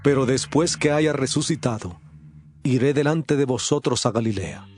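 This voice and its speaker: A man playing a grave, authoritative male religious teacher in a dramatised scripture audiobook, speaking in a solemn, measured way. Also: medium pitch, expressive, a little mellow, faint music behind, natural voice.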